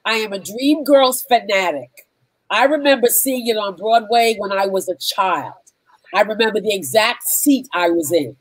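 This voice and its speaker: A woman's voice: mid-range voice, expressive, moderate pace, no echo, rough recording. Folksy woman on a dry video call. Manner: folksy, says "honey". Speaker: guest reading donations. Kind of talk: casual video call chat.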